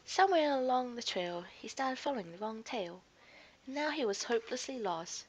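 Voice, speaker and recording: A woman's voice: on the high side, animated, moderate pace, rough recording. A woman narrating a scripted children's story expressively, as a storyteller to young listeners.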